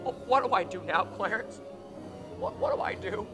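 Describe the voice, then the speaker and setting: A man's voice: sits high, normal speed, over music, natural voice. A young man playing a desperate man who stammers and pleads, on a theater stage at a stand mic. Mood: pleading. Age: young adult. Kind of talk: live radio play acting. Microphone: vintage stand mic on stage.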